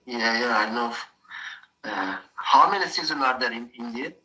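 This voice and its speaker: A young Turkish man speaks English with a strong Turkish accent and a very bright, sharp tone. He talks in a halting way, filling gaps with "uh" and restarting phrases as he hunts for the right word. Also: mid-range voice, low-quality recording. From Turkey. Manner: halting, searching for words, frequent uh. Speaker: mechanical engineer practicing English. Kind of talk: casual conversation practice.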